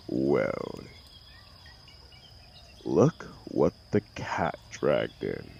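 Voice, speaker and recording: A man playing an arrogant, mocking telepathic supervillain in an audio roleplay, with a gravelly voice and a sneering, sarcastic, theatrical manner. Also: a bass voice, mellow, dull audio, slight background noise, full character voice. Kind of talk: dramatic audio roleplay monologue.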